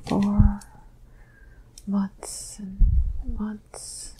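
A woman recalling events in a slow, halting, hushed whisper as she answers questions. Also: medium pitch, monotone, a little hiss or hum. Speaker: session subject answering questions. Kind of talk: slow whispered first-person recollection.

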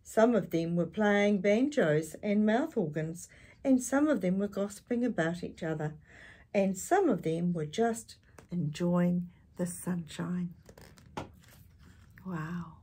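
An older woman reads a children's picture book aloud in a slightly low, husky voice. She takes her time over the story and breaks off for chatty asides, putting questions to her young listeners in an animated way. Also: slow pace. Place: small indoor space with curtain backdrop.

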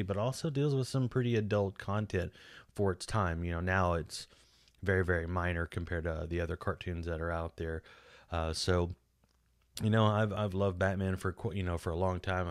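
Deep-voiced Texan man rambling casually. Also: dry recording, clean studio sound. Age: 40s. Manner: rambling, chatty, frequent restarts and fillers. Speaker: podcast host. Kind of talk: casual solo video commentary. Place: small home studio room. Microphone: boom-mounted studio mic close up.

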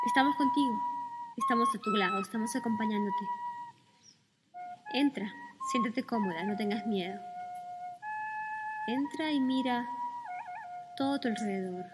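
A woman leading a guided meditation, speaking calmly and soothingly with gentle pauses between phrases. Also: fairly high pitch. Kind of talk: guided meditation, slow spoken instructions. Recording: long reverb with music behind.

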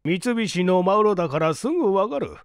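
A man voicing a genial, dignified older gentleman with a measured, courteous manner in a story reading. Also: high voice, very animated, clear, resonant tone, no room sound, studio quality, voice pushed up. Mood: warm, grateful.

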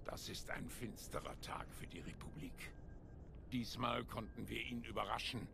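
Game military commander, alien, gravelly and resolute, solemn delivery over noisy ambience. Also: male voice, medium pitch. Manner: solemn, measured. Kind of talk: dramatic game cutscene acting. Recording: game ambience and noise behind voice.

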